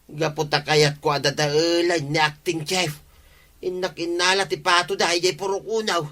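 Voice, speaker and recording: A sheepish, bright-voiced Ilocano thief. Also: male voice, fairly high pitch, flat delivery, moderate pace. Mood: apologetic, pleading. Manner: hesitant, pleading, excuse-making. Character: sheepish male petty thief caught stealing ducks, making excuses. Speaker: radio drama actor. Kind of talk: Ilocano radio comedy drama acting.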